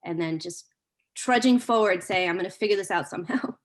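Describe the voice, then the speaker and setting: Woman, lowish voice, reflective, repeats phrases, panel talk. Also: fairly quick. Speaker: panelist, academic. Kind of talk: panel discussion. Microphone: video call.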